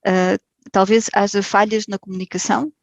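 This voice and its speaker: A woman's voice: mid-range voice, flat delivery, normal speed, dry recording, dull audio. Hesitant Portuguese woman, dry sound. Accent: European Portuguese. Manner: hesitant, many fillers and restarts. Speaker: podcast guest. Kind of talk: casual interview.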